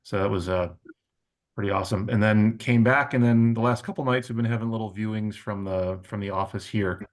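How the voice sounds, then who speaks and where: Low-voiced, laid-back man on a call. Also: a little fast. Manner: relaxed, laid-back, fillers. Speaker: meeting participant. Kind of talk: casual chat on a video call. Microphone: video call.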